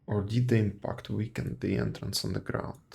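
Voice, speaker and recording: Low-voiced gravelly man streaming. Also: little pitch movement, moderate pace, a little mellow, slight background noise. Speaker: game streamer.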